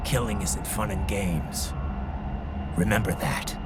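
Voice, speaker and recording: A man playing a hardened, grim, defiant cyborg mercenary in a video game cutscene, with a gravelly, breathy growl. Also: lowish voice, slow pace, heavy background noise. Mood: stern. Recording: loud music behind.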